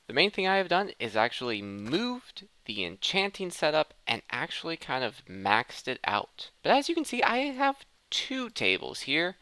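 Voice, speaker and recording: A man's voice: on the high side, huge pitch swings, moderate pace, hardly any pauses, sharp tone, smooth, no echo. A man hosting a gaming video, giving casual commentary and thinking aloud as he talks through each step. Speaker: host of a gaming video series.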